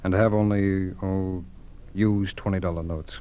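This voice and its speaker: An actor playing a calm, businesslike FBI agent with a measured, methodical manner in a 1940s radio drama. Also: male voice, sits low, close to an everyday voice. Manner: measured, methodical questioning, reassuring. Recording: tinny, band-limited old radio recording.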